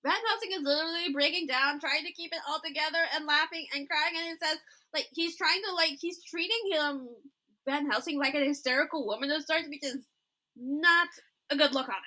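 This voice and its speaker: A woman's voice: high voice, fairly quick, pushed and bright, low-quality recording. A woman co-hosting a podcast in casual chat with a wry, teasing manner. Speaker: podcast co-host.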